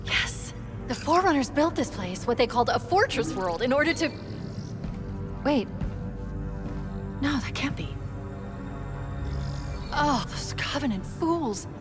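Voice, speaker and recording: A woman's voice: sits high, big pitch swings, a little slow, long pauses. An actress playing a brilliant, commanding female artificial intelligence in a video game, speaking with dramatic emphasis. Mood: excited, then horrified. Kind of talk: video game cutscene acting. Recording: music behind the voice.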